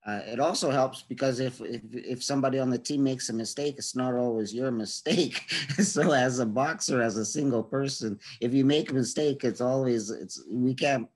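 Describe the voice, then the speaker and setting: A man's voice: medium pitch, a little mellow. A man speaking as a guest to students over a video call, telling his story casually with many restarts and little chuckles. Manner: halting, many restarts, chuckles between points.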